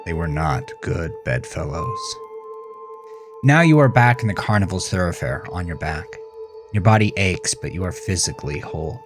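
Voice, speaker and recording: A man's voice: sits low, expressive, moderate pace, music in the background. A man narrating a horror story in a dramatic, grave and suspenseful manner. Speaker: author narrating his own horror fiction.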